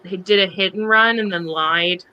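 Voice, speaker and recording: A woman's voice: medium pitch, normal speed, highs cut off, slight background noise. A woman reading on a charity livestream, moving between dramatic book reading and casual asides in a wry, mocking manner.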